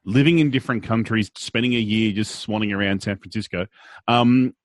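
A man's voice: lowish voice, a little fast, no echo. A man hosting an interview, asking curious and encouraging questions. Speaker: interview host.

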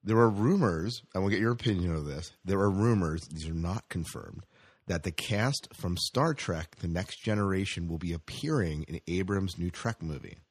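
A man's voice: low-pitched, moderate pace, slightly rough, dry recording. A man hosting a casual news chat, speaking conversationally and often putting questions to his co-host. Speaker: podcast host reading entertainment news.

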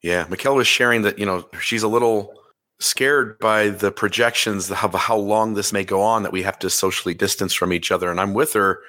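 Slightly hoarse podcast host, low and bright. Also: male voice, steady tone. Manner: halting, many fillers and restarts. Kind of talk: casual chat.

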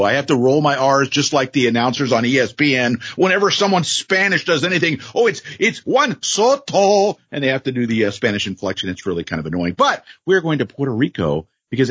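A man co-hosting a casual wrestling podcast, jokey and animated, with frequent fillers and self-corrections. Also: mid-range voice, brisk, hardly any pauses, breathy edge, dry recording, muffled recording. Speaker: podcast co-host.

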